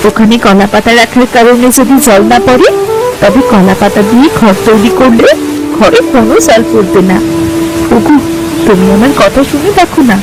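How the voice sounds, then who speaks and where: A woman playing a caring, anxious village wife and mother in an animated folk tale, coaxing and tenderly scolding, with music behind her. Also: a little high, moderate pace, natural voice, low-quality recording. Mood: excited, coaxing.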